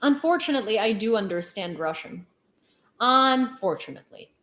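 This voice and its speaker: A woman's voice: medium pitch, lively intonation, normal speed, fairly bright tone, reverberant. A woman from Ukraine with a Ukrainian accent, giving casual livestream news commentary in an outspoken, emphatic way and repeating herself for stress. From Lviv, western Ukraine.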